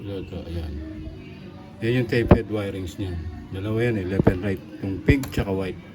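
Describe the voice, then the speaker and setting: A Filipino man giving a casual hands-on repair walkthrough, speaking haltingly and repeating himself as he works. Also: low voice, over loud music. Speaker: electronics repair technician and vlogger.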